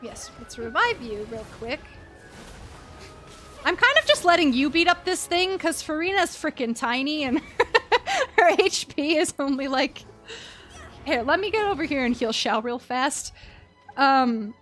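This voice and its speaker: A young woman live-streaming a game, chatting casually with an expressive, playful manner. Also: high-pitched, a little slow, pauses often, faint music behind. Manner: expressive, playful, exclaims and chants little words.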